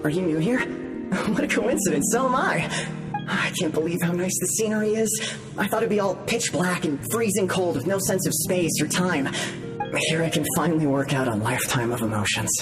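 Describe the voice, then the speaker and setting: A man's voice: high voice, expressive, normal speed, music in the background. An actor playing an easygoing ghost man newly arrived in the afterlife, airy and rueful, in a video game. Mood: pleasantly surprised.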